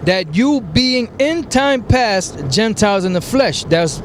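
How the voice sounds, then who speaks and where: Man, street preacher, high voice, slow scripture reading, explains verses, outdoors, faint street noise. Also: expressive. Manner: reads verses slowly, then explains them.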